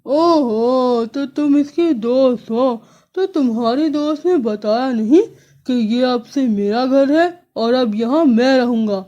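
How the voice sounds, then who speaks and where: A woman voicing a greedy, bullying male crow who talks in a loud, drawn-out, gloating way. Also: a little high, dull audio, natural voice. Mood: bossy.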